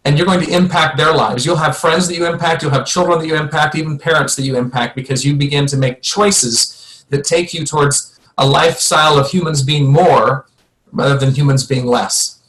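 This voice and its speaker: A man's voice: on the high side, steady tone, moderate pace, a bit bright. A man hosting a sales webinar, talking in an upbeat and persuasive way. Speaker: webinar host, product presenter. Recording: echoey room.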